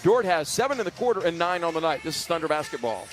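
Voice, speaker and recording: High-pitched male basketball radio announcer. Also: very animated, quick pace, loud music behind the voice. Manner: vivid, clipped descriptive phrasing. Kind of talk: live radio play-by-play commentary. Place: sports arena broadcast.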